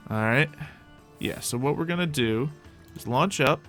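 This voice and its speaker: A young man hosting a modded game roleplay, chatting casually as he plays and talks to his pet dragon, with soft music behind him. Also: mid-range voice, measured pace. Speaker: roleplay gameplay host. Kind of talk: casual gameplay roleplay commentary.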